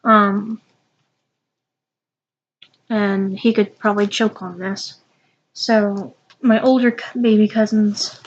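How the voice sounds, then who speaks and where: A young woman with a stuffy, sniffly nose, talking through a casual unboxing vlog with many pauses and restarts. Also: medium pitch, highs cut off, slight background noise. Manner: halting, with many ums and restarts. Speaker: vlogger.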